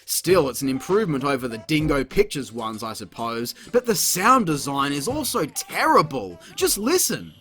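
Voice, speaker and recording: A man's voice: a little high, sing-song, moderate pace, hardly any pauses, sharp tone. A man delivering scripted comedic review commentary in a sarcastic, exasperated, sweary manner. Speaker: video reviewer, host. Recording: music playing behind the voice. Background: background music.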